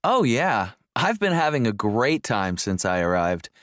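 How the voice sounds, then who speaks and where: Lively, enthusiastic male traveller, acted by a man. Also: mid-range voice, measured pace, no echo, clean studio sound. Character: enthusiastic male visitor enjoying his trip. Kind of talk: scripted small talk for an English listening exercise.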